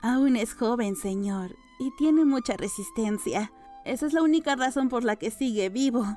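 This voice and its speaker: A woman plays a young apothecary girl with a fairly high voice. She is deferential yet blunt and matter-of-fact. Light music sits behind the audiobook dialogue. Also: moderate pace, mellow, close to an everyday voice. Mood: politely blunt.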